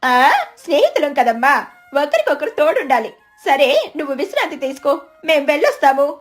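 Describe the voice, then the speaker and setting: A motherly cartoon eagle played by a woman with a high, emphatic voice. She fusses over her younger friends like a protective elder, with soft music behind. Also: sing-song, normal speed. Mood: motherly advice. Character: motherly, indignant female eagle, an older friend.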